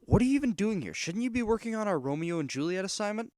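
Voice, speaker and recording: A man's voice: high-pitched, sing-song, quick pace, darkish tone, no echo, studio quality. A man voicing a clueless, whiny young superhero team leader, easily exasperated, in a cartoon parody dub.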